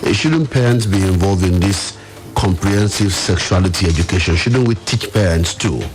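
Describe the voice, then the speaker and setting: Deep-voiced Nigerian male radio host. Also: moderate pace, faint music behind. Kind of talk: radio discussion moderating.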